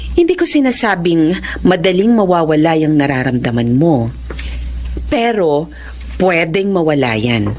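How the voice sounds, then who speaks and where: A woman playing a caring, composed psychiatrist and mother in a Filipino radio drama, measured and reassuring, slipping into English. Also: low-pitched, big pitch swings, darkish tone, slight background noise. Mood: calm, reassuring.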